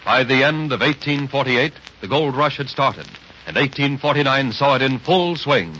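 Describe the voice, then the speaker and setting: A man's voice: medium pitch, lively intonation, normal speed. A man narrating in a grand, declamatory 1930s dramatic radio style. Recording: muffled, hissy 1930s radio recording.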